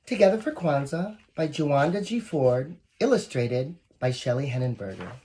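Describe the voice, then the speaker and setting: Storybook reader, very animated intonation, expressive, talks directly to the kids between lines. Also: mid-range voice, moderate pace, a little hiss or hum, rough recording. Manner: expressive, addresses young listeners directly. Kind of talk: children's picture book read-aloud with asides.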